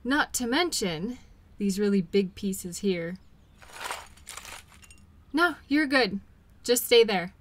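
A woman playing a caring, patient girlfriend in an intimate role-play audio, talking gently and soothingly to her partner. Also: medium pitch, animated, slow, pauses often, a little hiss or hum. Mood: reassuring, light. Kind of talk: intimate one-sided role-play acting.

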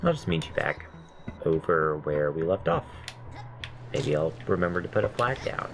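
A man's voice: low-pitched, very animated, normal speed, gravelly, soft background music. A man with a nasal voice chatting casually while playing a game, rambling between stories and swearing at his mistakes. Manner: rambling, self-deprecating, swears at deaths. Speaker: gameplay commentator. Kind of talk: casual let's-play chat.

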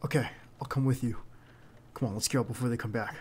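A man playing a protective, earnest bodyguard in an ASMR roleplay, speaking haltingly and insistently straight to the listener. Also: mid-range voice, fairly even intonation, darkish tone, lightly acted. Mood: alarmed. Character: protective, earnest young male bodyguard to a crime boss. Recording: clear background noise under the voice.

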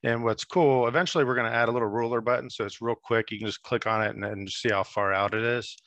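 A man in his thirties talking through a screen-share software demo on a video call, relaxed and explanatory with many fillers. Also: medium pitch, fast talker, no echo. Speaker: app demonstrator.

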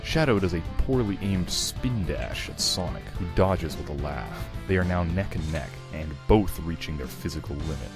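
A man's voice: low-pitched, lively intonation, moderate pace, few pauses, music in the background. A man narrating a fan fiction screenplay in an amateur reading.

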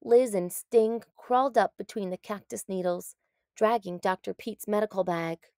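A woman narrating a children's story in a deliberate, expressive read-aloud style. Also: mid-range voice, dry recording, studio quality.

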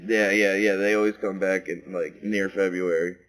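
A man co-hosting a podcast in a casual chat, laid-back and quick to laugh, with lots of fillers. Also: low voice, little pitch movement, fairly bright tone, rough recording. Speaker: podcast co-host. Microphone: podcast microphone.

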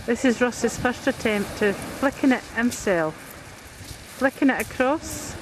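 A woman narrates her family outing as she films it on a handheld camcorder, speaking slowly and chattily. Her voice sits a bit high. Wind and surf noise come through clearly behind her on an outdoor pebble beach. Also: animated. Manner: chatty, amused, laughing often. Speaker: family member filming. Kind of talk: casual home-video commentary. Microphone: handheld camcorder mic.

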